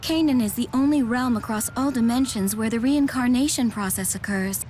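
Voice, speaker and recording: Woman acting a high-voiced, composed scholarly advisor. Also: moderate pace, a little mellow, faint music behind, lightly acted. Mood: analytical. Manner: precise, explanatory. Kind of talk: video game voice acting.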